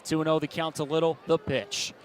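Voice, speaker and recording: An American man works as a baseball play-by-play announcer, narrating in clipped present-tense phrases. His voice sits a little high, and there is some ballpark noise behind him. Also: little pitch movement, a little fast. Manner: clipped present-tense narration, swelling into shouts on big plays. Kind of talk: live sports commentary. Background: outdoor stadium background noise.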